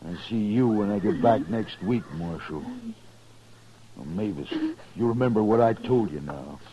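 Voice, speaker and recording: An actor playing a surly, threatening mule driver in a 1950s radio western, blustering in rough, folksy speech. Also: male voice, lowish voice, animated, measured pace, noisy background. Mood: sullen, threatening. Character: threatening, surly bully of a mule-driving frontier man. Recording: dull old 1950s radio recording.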